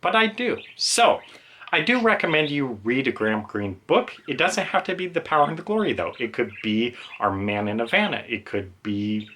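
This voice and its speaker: A man's voice: mid-range voice, sing-song, normal speed, bright, forceful tone, a little hiss or hum. A man giving a casual, unscripted book review in a conversational, slightly hesitant and self-deprecating way.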